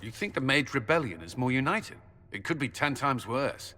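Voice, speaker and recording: A man's voice: medium pitch, expressive, moderate pace, pushed and bright, long reverb tail, a little hiss or hum. A man playing an earnest, dutiful military commander in a fantasy video game, speaking formally. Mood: earnest, firm.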